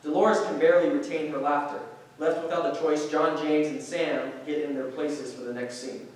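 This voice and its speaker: A man's voice: on the high side, flat delivery, moderate pace. A man reading stage directions as scripted narration for a staged play reading. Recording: distant mic in very echoey room.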